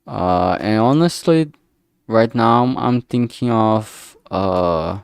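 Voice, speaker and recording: Slow, creaky-voiced man chatting on stream. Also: fairly low pitch, lively intonation, no room sound, clean studio sound. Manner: rambling, halting, thinking aloud. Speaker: livestreamer making a visual novel. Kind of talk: casual work-along livestream chat.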